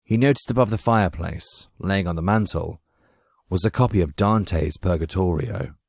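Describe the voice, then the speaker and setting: A man narrating a dramatic audiobook from a script, with measured, literary storytelling. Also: low-pitched, vocal fry, darkish tone, no room sound, clean studio sound.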